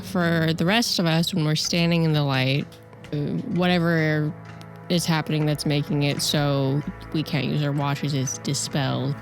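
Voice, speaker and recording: A woman's voice: low voice, steady tone, moderate pace, soft background music. A woman playing a hesitant agent who carefully explains a magic item in a tabletop audio drama.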